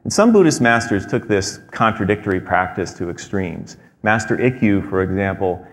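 A man in his thirties giving a prepared talk on a theater stage, measured and explanatory, with frequent fillers. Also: on the low side, expressive, slight background noise. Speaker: presenter. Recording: long hall reverb.

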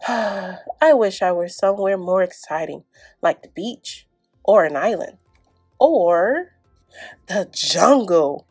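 A woman storyteller voices a dreamy, excitable little boy from a picture book. The pitch is high and leaps about, as he ponders aloud and exclaims dramatically. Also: slow pace, pauses often, breathy edge, no echo, studio quality, soft background music, full character voice. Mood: bored, wistful. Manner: dramatic, musing then exclaiming. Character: daydreaming little boy, bored, then excitable and imaginative.

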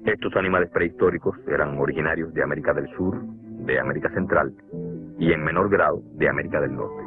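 A man with a Cuban Spanish accent giving measured, scripted documentary narration for a cartoon. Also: extremely low pitch. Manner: measured, explanatory. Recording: tinny lo-fi narration over music.

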